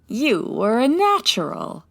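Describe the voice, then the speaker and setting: A woman's voice: a little high, wildly swooping pitch, slow pace, vocal fry, exaggerated voice. A woman playing a poised, wry 1940s private detective in a comedy audio drama, articulate and dry-witted. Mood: wry, fond.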